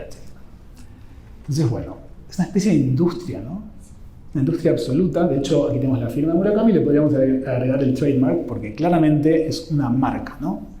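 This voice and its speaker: A fairly high-pitched Argentine man speaks in a dark, mellow tone as he gives an informal literature lecture, often tagging his phrases with "¿no?". The room around him is echoey. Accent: Argentine Spanish. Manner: conversational, keeps adding "¿no?" at the end of phrases. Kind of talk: informal academic talk.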